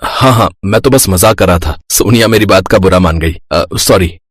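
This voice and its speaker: An actor playing a suspicious but meek young husband in a story, with a husky, hesitant voice. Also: male voice, low voice, normal speed, no room sound. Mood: apologetic.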